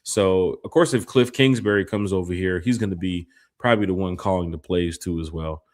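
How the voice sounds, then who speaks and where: A man's voice: low voice, a little fast. A man co-hosting a casual sports podcast, talking in a laid-back, joking drawl. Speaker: podcast co-host.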